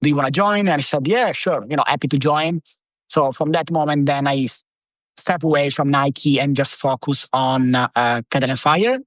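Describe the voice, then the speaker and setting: A man's voice: on the high side, moderate pace, no room sound. A man in his thirties from Italy with an Italian accent, chatting as a podcast guest, loose and rambling with lots of fillers. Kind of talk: casual interview chat.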